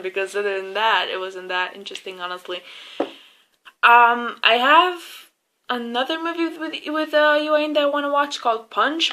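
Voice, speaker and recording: A woman's voice: on the high side, bright, forceful tone. A young woman giving a casual, opinionated movie review in an informal, gushing way, swearing freely.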